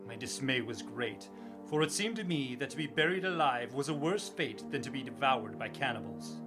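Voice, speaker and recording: A man's voice: mid-range voice, expressive, normal speed, music in the background, close to an everyday voice. An actor playing a bold sailor who tells his tale in a theatrical, formal storybook manner for a radio drama. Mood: grave narrating. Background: musical score.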